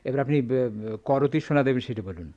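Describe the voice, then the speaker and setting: A man's voice: medium pitch, brisk, warm tone, highs cut off, close to an everyday voice. A man with a Bangladeshi accent acting in a radio drama as a busy, grudging goldsmith shop owner, hesitant and impatient.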